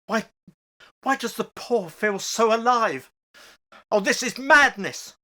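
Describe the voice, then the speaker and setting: Slow, anguished elderly parent acted by a woman. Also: a little high, lively intonation, bright, forceful tone, exaggerated voice. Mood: reluctant dread. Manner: pleading, halting, emotional. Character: anguished, reasoning elderly parent of a lost daughter. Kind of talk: amateur radio play acting.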